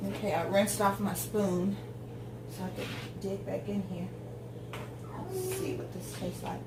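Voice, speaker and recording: A woman's voice: mid-range voice, very animated, a little slow, echoey room, far from the mic, very noisy. A woman in her forties chatting casually while she cooks at home, rambling through tangents with lots of ums. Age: 40s. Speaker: home cook vlogger. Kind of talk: casual chat while cooking. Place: home kitchen.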